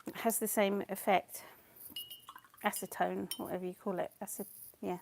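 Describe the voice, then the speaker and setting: A woman's voice: mid-range voice, level tone, moderate pace, gravelly, background noise. A woman chatting casually as she paints on a livestream, her voice partly lost and coughing, laughing often and answering comments. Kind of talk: casual chat while painting.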